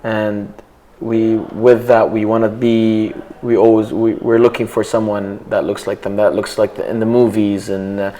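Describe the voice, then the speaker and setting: Mellow-voiced young man, halting speech. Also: fairly low pitch, flat delivery, a little hiss or hum. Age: young adult. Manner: measured, halting, restarts phrases. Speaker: panel guest. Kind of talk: casual panel discussion.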